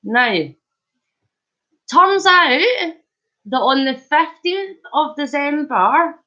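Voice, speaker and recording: A woman's voice: high-pitched, lively intonation, very slow. Chatty Scottish woman live-streaming with a cold. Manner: chatty, warm greetings, chuckles. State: head cold, sore throat. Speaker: vlogger hosting a live stream. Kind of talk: casual live-stream chat, greeting viewers. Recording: lo-fi dull sound.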